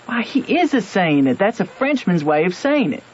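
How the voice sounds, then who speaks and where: A man's voice: high voice, very animated, moderate pace, a little hiss or hum. A man playing Huck's part as a plainspoken backwoods boy with a Southern US accent, telling his adventures in a folksy, matter-of-fact way for a radio drama. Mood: exasperated, explaining. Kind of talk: old-time radio drama narration and dialogue. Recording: muffled vintage radio recording, music behind.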